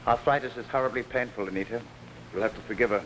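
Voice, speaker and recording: An actor playing a gentle, conflicted married orchestra conductor in early 1950s television drama. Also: male voice, mid-range voice, quick pace, close to an everyday voice. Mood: gently consoling. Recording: noisy 1950s television soundtrack.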